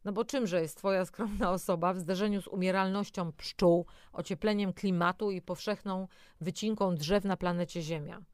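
A woman's voice: fairly low pitch, steady tone, moderate pace, darkish tone, clear, resonant tone, no room sound, clean studio sound. A woman presenting a self-help talk in an encouraging way, speaking directly to the listener, partly from a script with spontaneous asides.

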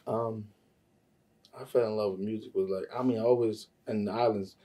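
A man's voice: fairly low pitch, steady tone, moderate pace, warm tone, distant mic. A man from Trinidad chatting casually as an interview guest, rambling, slangy and given to swearing, a little away from the mic. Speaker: podcast guest, music producer and songwriter.

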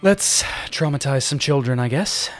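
A man's voice: mid-range voice, lively intonation, moderate pace, soft background music, natural voice. A man voicing a frustrated, wry female bird in an audiobook, urgent and exasperated. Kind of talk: audiobook character dialogue.

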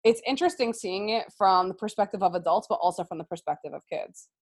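A woman's voice: medium pitch, quick pace, breathy edge. Earnest American woman guest explaining. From United States. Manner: earnest, explanatory, frequent "um" and "right?". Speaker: guest, sixth grade teacher. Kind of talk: conversational interview.

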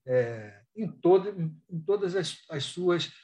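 A Brazilian man speaks in a somewhat high-pitched voice, as a researcher would in an online panel discussion. His delivery is unscripted and discursive. He moves haltingly through his thoughts, repeating words, rephrasing and dropping in small tag questions. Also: expressive, darkish tone. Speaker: academic researcher and thesis supervisor. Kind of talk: spontaneous panel discussion in an online live talk.

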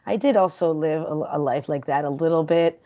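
A woman's voice: low voice, fairly quick, warm tone, muffled recording. A woman from Peru in her thirties, talking casually as an interview guest, chatty and rambling with many fillers and restarts.

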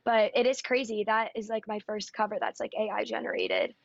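A woman singer-songwriter with a raspy voice, chatting casually and upbeat in an interview with lots of ums and likes. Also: medium pitch, steady tone, brisk, dry recording. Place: Nashville area. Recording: dull, dry lo-fi audio.

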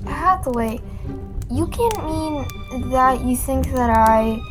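A woman's voice: high voice, slow pace. A woman playing a distressed, wounded young sweetheart in an old-time radio drama, pleading and faltering. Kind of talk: 1940s radio drama acting. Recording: dramatic music behind the voice.

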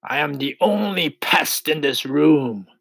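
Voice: medium pitch, expressive, slow, vocal fry, fairly bright tone, no room sound, pitched above the performer's own voice, heavily acted. A performer voicing a boastful, cheeky male centipede in a storybook, bragging and teasing. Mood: mocking, gleeful.

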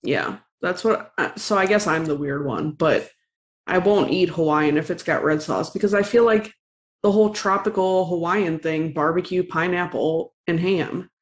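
A woman's voice: lowish voice, normal speed. Casual woman podcast co-host. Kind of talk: casual chat.

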